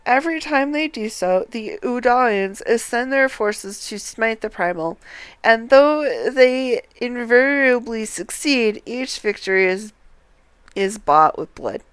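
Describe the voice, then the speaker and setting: Slow, clear woman streamer reading aloud. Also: on the high side, expressive, no echo. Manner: deliberate, halting when reading. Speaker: game streamer. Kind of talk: reading game dialogue aloud, casual livestream commentary.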